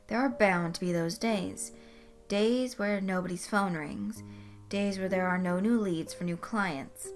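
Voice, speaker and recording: A woman reading scripted fan fiction as its narrator. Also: mid-range voice.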